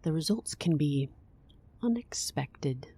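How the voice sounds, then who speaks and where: An actress playing a stern, haughty, long-suffering female client in an audio drama, speaking deliberately and with exasperation. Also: low voice, animated, mellow, breathy edge, dry recording, heavily acted.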